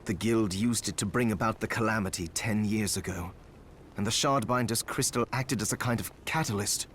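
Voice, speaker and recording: An actor playing an earnest, worried alchemist apprentice in a video game, speaking with urgency. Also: male voice, on the low side, normal speed, a bit bright, slight background noise, natural voice. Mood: grave.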